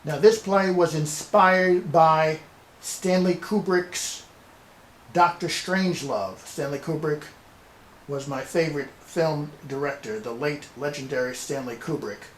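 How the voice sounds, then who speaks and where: Higher-voiced man in an echoey room. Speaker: playwright presenting his scripts. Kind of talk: semi-scripted video presentation. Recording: roomy echo with background hiss.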